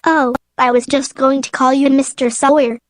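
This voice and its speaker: A woman's voice: high voice, steady tone, normal speed, dry recording, clean studio sound, natural voice. A woman playing an anxious, businesslike department store executive in a radio drama. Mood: worried. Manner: earnest, worried.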